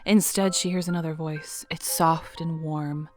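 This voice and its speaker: A woman narrating an audio drama in a measured, dramatic storytelling manner. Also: deep voice, steady tone, faint music behind.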